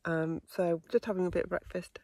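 A woman's voice: on the low side, steady tone, brisk, a little mellow, no echo. A woman in her thirties chatting casually to a phone camera held at arm's length outdoors on a hill, with lots of ums and little laughs. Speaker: hiking vlogger. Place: windy fell top and tent outdoors. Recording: faint wind noise outdoors.

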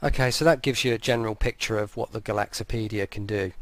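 A man's voice: lowish voice, fast, no echo. A man casually demonstrating a product, giving spoken commentary and short voice commands.

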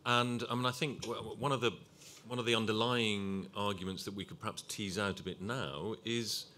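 A man's voice: on the low side, steady tone, normal speed, pushed and bright, a little hiss or hum. A man chairing a panel discussion, thoughtful and hesitant, with many restarts.

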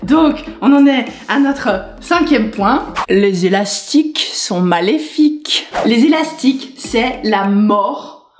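Animated chatty young woman vlogger. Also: on the high side, slow, slight background noise. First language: French. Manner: animated, chatty, rambling, gestures a lot. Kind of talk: casual chat to camera. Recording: echoey room with faint music.

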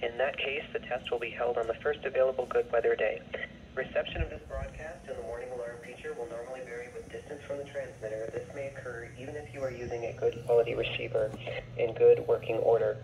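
A man's voice: mid-range voice, steady tone, fast, darkish tone, recorded from a distance. Synthetic male weather radio announcer, noisy, roomy. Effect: weather radio speaker. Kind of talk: scripted emergency alert broadcast. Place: played from radios in a room, picked up by camera. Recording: noisy radio speaker picked up from across room.

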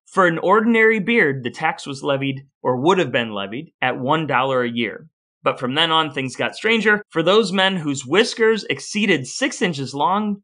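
A man's voice: on the high side, pushed and bright. A man co-hosting a podcast, chatting and presenting from partly scripted notes. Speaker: podcast co-host.

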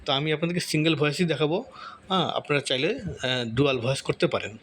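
Male tutorial host, slightly high pitch, informal explanatory delivery over some background noise. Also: fast, a bit bright. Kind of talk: informal step-by-step screen tutorial. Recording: clearly audible background noise.

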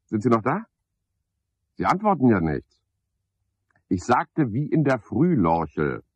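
A man's voice: medium pitch, sing-song, takes it slowly, husky, dry recording, exaggerated voice. An actor playing a self-important, touchy chairman of a mushroom club in a radio play, talking with cajoling pomposity. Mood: animated, insistent. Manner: pompous, cajoling, one-sided conversation. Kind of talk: 1970s German radio play acting. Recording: dull, band-limited 1970s radio recording.

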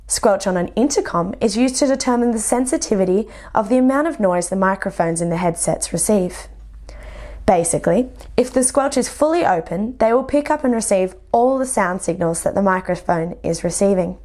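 Casting: young Australian female instructor, mid-pitched voice, methodical scripted training-video narration. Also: lively intonation, moderate pace, a little mellow. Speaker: flight instructor presenting a lesson.

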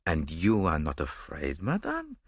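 A man voicing a fussy, mocking male Belgian detective, dry and precise, in a lively sing-song. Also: medium pitch, moderate pace, no echo, voice pushed up.

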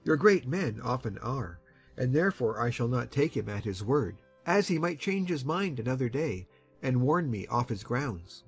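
A middle-aged man playing a sensible uncle in a dramatized audiobook, speaking in a measured, mildly wry way. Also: medium pitch, expressive, breathy edge, soft background music. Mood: musing.

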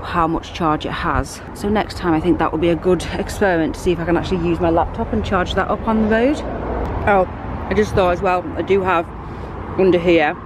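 A British woman in her thirties giving a relaxed, chatty walkthrough of her car, filmed on a handheld camera. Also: on the low side, fairly quick, background noise. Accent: British English. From United Kingdom. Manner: chatty, relaxed, lots of fillers. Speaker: vlogger. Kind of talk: casual show-and-tell walkthrough. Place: inside and around a car, outdoors by the sea.

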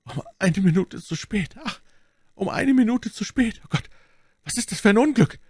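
A man's voice: lowish voice, moderate pace, slightly rough, no echo, clean studio sound, voice pushed up. A man voicing a grief-stricken English baron in an audiobook, groaning and lamenting. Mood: despairing. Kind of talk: dramatic audiobook character reading.

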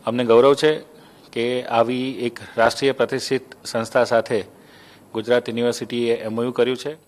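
A middle-aged man speaks as an official in an interview. The pitch is average, and he talks into a handheld mic in an office. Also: flat delivery, brisk. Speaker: university official being interviewed. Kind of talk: interview statement. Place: office, indoors. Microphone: handheld reporter microphone.

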